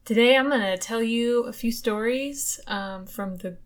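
A woman's voice: fairly high pitch, measured pace. Young woman, podcast host, chatty, casual swearing, storytelling. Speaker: podcast host telling ghost stories. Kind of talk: casual chat.

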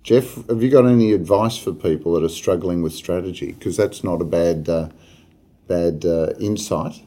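A man's voice: deep voice, normal speed, warm tone. A man hosting a business podcast, chatting casually and joking with his guests. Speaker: podcast host. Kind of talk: casual interview chat.

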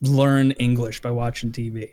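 A man chatting on a podcast, laughing often and rambling through quick explanations. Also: mid-range voice, level tone, mellow. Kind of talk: casual chat.

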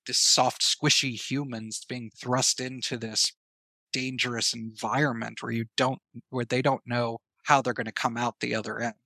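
A man with a bright, forceful mid-range voice talks as a guest in a casual interview. He is thoughtful and emphatic, pausing mid-sentence, recorded dry and close. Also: medium pitch, creaky, no room sound. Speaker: guest, writer and audio drama creator. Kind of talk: casual, reflective interview talk.

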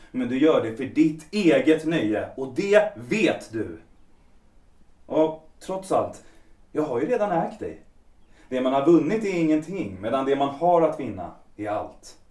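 A man's voice: on the high side, a little mellow, far from the mic. A man playing a bitter rejected lover. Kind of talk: audio drama acting. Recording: echoey room, voice off-mic.

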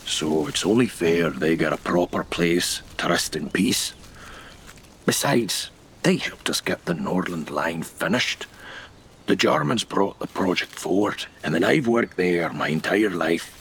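An actor playing an elderly, gruff great-grandfather and retired railwayman in an audio drama, speaking in folksy, old-fashioned phrases. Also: sits low, animated, a little slow, fairly bright tone, exaggerated voice. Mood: earnest, defensive. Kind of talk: naturalistic audio drama acting.

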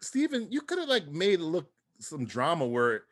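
A man's voice: on the high side, wildly swooping pitch, normal speed. A man giving casual movie commentary in a sarcastic, joking way.

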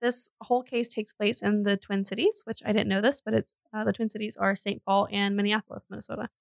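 A woman's voice: medium pitch, flat delivery, quick pace, vocal fry, dry recording. A woman hosting a podcast, telling true crime stories in a casual, giggly, jokey and chatty way. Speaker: podcast host.

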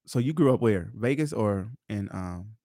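A man's voice: on the low side, lively intonation, moderate pace, mellow, no echo, studio quality. A man hosting a casual interview podcast, slangy and teasing, often laughing. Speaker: podcast host.

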